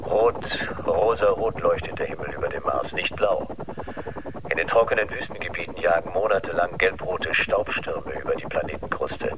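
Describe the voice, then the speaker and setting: Man playing a deep-voiced, rational Mars settler guide. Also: noisy background. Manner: factual, instructive. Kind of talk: science-fiction radio drama acting.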